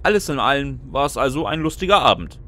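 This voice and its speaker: A man's voice: medium pitch, very animated, fast, pushed and bright, no room sound. A man playing a sardonic, lonely narrator in a scripted first-person audio drama, with a dry manner. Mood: frustrated, dry. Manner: dry, sarcastic. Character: frustrated, sardonic male narrator, lonely and sentimental underneath. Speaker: narrator and lead character. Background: music.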